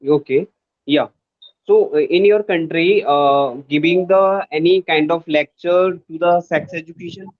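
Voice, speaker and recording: A man's voice: on the high side, unhurried, dry recording. Hesitant young Indian man on lo-fi call. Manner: hesitant, many fillers, searches for words. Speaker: English learner. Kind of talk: casual conversation lesson.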